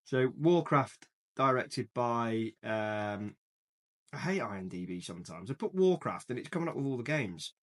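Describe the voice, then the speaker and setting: Casual chatty man, medium pitch. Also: expressive, normal speed. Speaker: podcast co-host. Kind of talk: casual film and games discussion.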